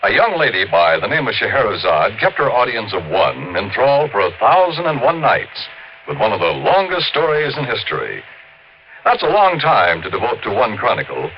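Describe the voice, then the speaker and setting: A man's voice: lowish voice, wildly swooping pitch, moderate pace. A man with an American accent announcing from a script in the polished, emphatic, theatrical style of 1950s radio. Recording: dull, slightly noisy 1950s radio recording.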